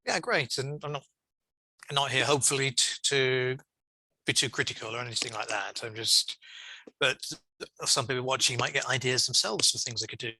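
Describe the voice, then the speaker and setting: A man chairs an online session in a very bright, crisp-edged voice. The sound is close and dry, with little room on it. He talks informally and encouragingly, wandering a little as he goes. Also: medium pitch, normal speed, no room sound, poor audio. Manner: encouraging, rambling feedback. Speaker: conference host and session chair. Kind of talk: informal chairing and feedback over video call. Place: online video call.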